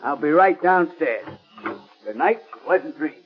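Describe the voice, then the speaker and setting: An actor playing a brisk, protective police officer in 1930s radio style, blunt and folksy. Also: male voice, fairly high pitch, lively intonation. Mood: reassuring. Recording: muffled, noisy old 1930s radio recording.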